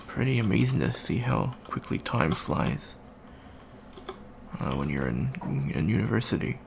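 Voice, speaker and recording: Gravelly, awkward young alien student voiced by a man. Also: fairly low pitch, very animated, measured pace, background noise, exaggerated voice. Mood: awkward, chatty. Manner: hesitant, stammering, awkward small talk. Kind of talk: improvised ASMR roleplay, casual chat.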